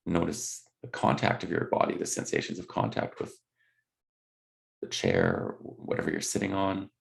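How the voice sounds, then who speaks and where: A man leading a guided body scan meditation, speaking gently in unscripted, hesitant phrases. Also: deep voice, big pitch swings, moderate pace, creaky.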